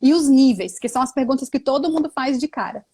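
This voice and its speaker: A woman's voice: sits high, lively intonation, fast talker, darkish tone, slightly rough. A woman hosting a live video interview, enthusiastic and effusive. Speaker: host, Portuguese teacher.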